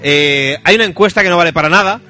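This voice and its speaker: A man from Spain hosts a joking radio chat in a high, forceful, bright voice. He is playful and teasing, given to exclamations. Music plays behind him. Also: fast talker. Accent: Spanish from Spain. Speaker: radio show host.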